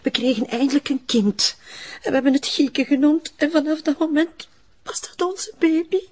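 A woman's voice: high-pitched, moderate pace, close to an everyday voice. An actress playing a protective, emotional Flemish mother with a homely, agitated manner in an audio drama. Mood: emotional, insistent.

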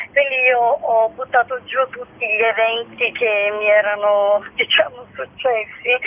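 Slow-talking woman on a muffled phone line. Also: a little high. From Sicily, Italy. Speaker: actress and monologue writer, interview guest. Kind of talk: casual promotional radio interview. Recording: band-limited phone-like radio line.

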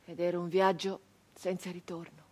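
An actress playing an embittered, wounded brigand woman of the nineteenth century in a theatrical monologue, speaking in halting, emphatic short phrases with a husky voice. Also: lowish voice, level tone, natural voice. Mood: haunted, hushed. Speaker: stage actress.

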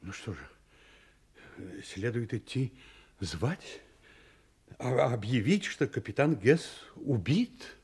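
Slow, husky, uneasy ship's mate. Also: male voice, medium pitch, sing-song, a little mellow, full character voice. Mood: grim, halting. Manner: hesitant, heavy, broken phrases. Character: halting, grim ship's mate, uneasy and fatalistic.

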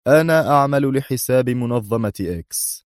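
A man's voice: low voice, big pitch swings, normal speed, a little mellow, dry recording. Guy doing a smug traitor general in an audiobook, defiant and sure of himself, dry close sound. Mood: calm, confident. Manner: self-assured, taunting. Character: confident, defiant air force commander turned traitor.

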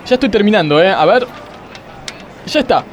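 A high-pitched, plaintive Argentine man acting as a self-pitying judge in a radio comedy. He laments small troubles with theatrical gravity, with music playing underneath. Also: animated, moderate pace. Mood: woeful, earnest. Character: woeful, melodramatic male judge lamenting his petty troubles. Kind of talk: comic radio play acting.

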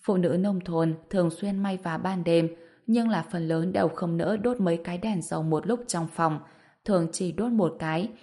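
A woman's voice: fairly low pitch, moderate pace, mellow. A woman narrating an audiobook story from a script, voicing the dialogue as she goes.

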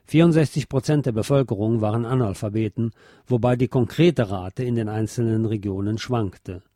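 Male narrator, mellow tone, mid-pitched, measured factual delivery, scripted history reading, dry close sound. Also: no room sound. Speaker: podcast host and narrator.

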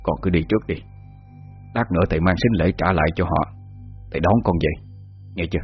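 A man voicing an aging village father and burial master who speaks low and hushed to his daughter in a husky voice. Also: expressive, fast, heavily acted. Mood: worried, hushed. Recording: muffled lo-fi with faint music.

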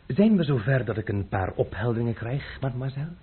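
A man playing a sardonic gentleman adventurer. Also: mid-range voice, animated, quick pace, a little hiss or hum, natural voice. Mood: probing. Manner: dry, mocking, imperious with servants. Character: ironic, self-assured young Dutch gentleman adventurer. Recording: tinny old radio broadcast.

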